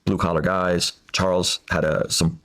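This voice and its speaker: A man's voice: deep voice, little pitch movement, fairly bright tone. A man whose first language is Russian, telling a personal story earnestly on a podcast, with frequent restarts. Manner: rapid, earnest, with many restarts. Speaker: podcast guest.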